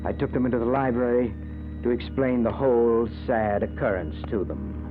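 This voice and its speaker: Actor as fanatical uncle on tinny old radio. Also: male voice, mid-range voice, moderate pace, over music, lightly acted. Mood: calm narrating. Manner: grandiose, insistent, theatrical. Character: fanatical uncle obsessed with contacting the dead, coldly murderous. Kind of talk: 1940s radio drama acting and narration.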